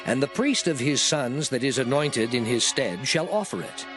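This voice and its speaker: A man giving a solemn, declarative, measured dramatized reading of old scripture. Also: mid-range voice, animated. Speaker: scripture reader. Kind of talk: dramatized King James Bible reading, scripted. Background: music.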